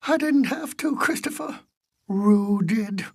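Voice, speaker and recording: An actor voicing a gentle, forgetful, good-natured bear in a children's cartoon, mild and hesitant. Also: medium pitch, expressive, takes it slowly, creaky, slightly rough, heavily acted. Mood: mild, sheepish.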